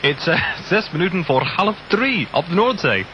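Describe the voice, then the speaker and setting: Lively, bright-voiced male radio host. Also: high-pitched, normal speed, highs cut off, a little hiss or hum. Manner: chatty, jokey, cheerful. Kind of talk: casual music radio banter. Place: studio aboard a radio ship at sea. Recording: offshore radio aircheck, music behind. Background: music.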